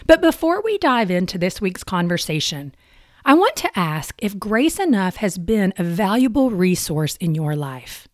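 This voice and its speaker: A woman hosts a podcast, reading her appeal from a script and then chatting warmly with her guest. Her pitch moves up and down a great deal as she talks. The recording is dry and close-miked. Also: sing-song, moderate pace, no room sound. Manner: friendly, encouraging. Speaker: podcast host. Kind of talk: scripted intro and interview chat.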